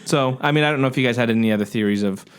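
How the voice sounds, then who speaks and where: A man's voice: mid-range voice, rapid-fire, smooth. A man co-hosting a podcast, talking through things in casual discussion. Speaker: podcast co-host.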